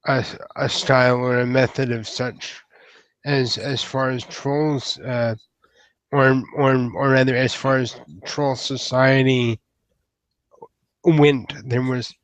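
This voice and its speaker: Guy doing a shy awkward young troll for a tabletop game, slow halting speech, super polite n formal, dry sound. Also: mid-range voice, no echo, natural voice, low-quality recording. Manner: hesitant, stammering, formal and apologetic.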